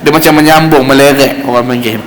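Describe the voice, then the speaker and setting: A man with a Malaysian Malay accent teaching Quran recitation in an informal, explanatory way, slowly demonstrating sounds as he goes. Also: medium pitch, steady tone. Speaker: religious teacher giving Quran recitation class. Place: mosque hall. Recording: roomy echoing hall, faint background noise.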